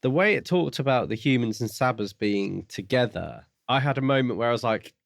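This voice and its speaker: A man's voice: mid-range voice, expressive, brisk, gravelly, no room sound, clean studio sound. A man co-hosting a podcast in casual book discussion, tentatively thinking aloud. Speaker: podcast co-host.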